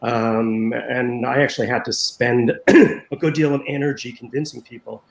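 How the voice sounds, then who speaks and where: A man's voice: mid-range voice, steady tone, normal speed, gravelly, reverberant, rough recording. An older man, a former newspaper design director, answering interview questions in a hesitant way full of ums and restarts over a video call.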